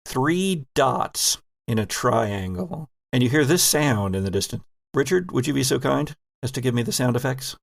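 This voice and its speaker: A man narrating a horror tabletop game as game master, telling the story deliberately and dramatically with pauses. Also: mid-range voice, lively intonation, creaky, no echo, clean studio sound.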